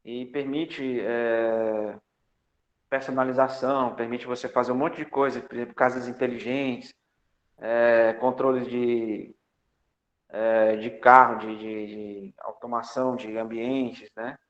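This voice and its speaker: A man's voice: mid-range voice, level tone, slow. A male computer architecture lecturer, teaching an online class in a chatty style, full of fillers and tag questions. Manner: jokey asides. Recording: echoey, dull lo-fi lecture audio.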